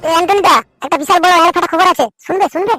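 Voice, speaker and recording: A woman voicing an excitable young male gossip in a comedy cartoon. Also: very high-pitched, very fast, soft background music, heavily acted. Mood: excited. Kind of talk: Bengali comedy cartoon dub.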